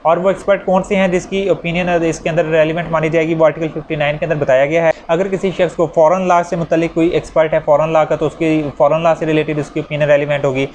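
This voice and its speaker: Male law lecturer, Pakistani Urdu accent, slightly high voice, conversational teaching manner, faint background noise. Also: little pitch movement, fast talker, few pauses, darkish tone. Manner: conversational, explains with examples.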